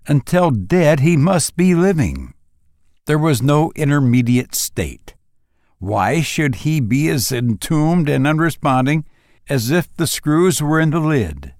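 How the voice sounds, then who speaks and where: A male audiobook narrator with a mid-range voice reads at an unhurried pace. His delivery is measured and dramatic, shaped by deliberate pauses. The sound is dry and close, with very little room in it. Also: medium pitch, a little mellow, no echo. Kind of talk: scripted literary story narration.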